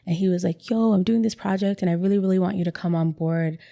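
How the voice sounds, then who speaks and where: Chatty young woman, low voice. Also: fast, creaky, warm tone. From Canada. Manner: chatty, many "like" fillers and repeats. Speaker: interview guest, writer. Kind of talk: casual conversational interview.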